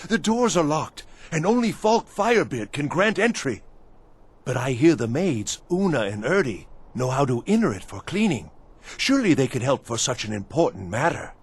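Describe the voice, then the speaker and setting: Frantic, pleading servant, husky and swooping. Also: male voice, mid-range voice, big pitch swings, normal speed. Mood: imploring. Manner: theatrical, swinging from pleading to hushed awe. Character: frantic, pleading servant devoted to his vanished mad master. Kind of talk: video game character acting.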